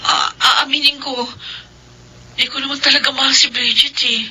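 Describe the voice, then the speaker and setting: A woman's voice: high voice, little pitch movement, moderate pace, sharp tone, poor audio. An actress with a Filipino accent playing an anxious, apologetic young mother in a radio drama. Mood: worried. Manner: worried, apologetic.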